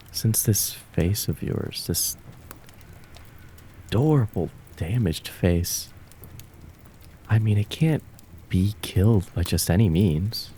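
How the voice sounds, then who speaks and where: A man voicing a cruel, taunting kitsune fox spirit of the woods in an ASMR roleplay, with drawn-out, mocking, menacing words. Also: lowish voice, darkish tone, slight background noise. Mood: sinister, savoring.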